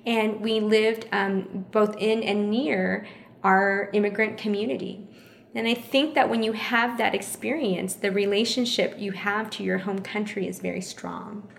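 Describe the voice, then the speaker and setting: A woman, born in the United States with Vietnamese as her first language, answering interview questions in a thoughtful, measured way and repeating phrases for emphasis. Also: mid-range voice, steady tone, vocal fry, roomy sound, slight background noise. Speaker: interview guest.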